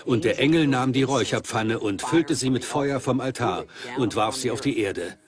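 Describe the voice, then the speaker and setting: A man's voice: medium pitch, moderate pace, crowd noise, natural voice. A man voicing a grave, ominous investigator in a documentary dub. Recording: music under the voice.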